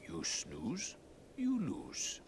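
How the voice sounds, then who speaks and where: An actor playing a wise, fatherly old mutant sensei in a video game, measured and sage. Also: fairly low pitch, wildly swooping pitch, gravelly, background noise, exaggerated voice. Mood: wry, fatherly. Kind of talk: video game cutscene acting. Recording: music behind the voice.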